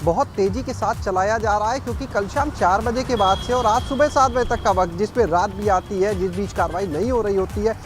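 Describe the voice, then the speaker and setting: A man's voice: high-pitched, brisk, few pauses. A man giving a live, unscripted news update as a field reporter, explaining at length. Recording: music behind a live report.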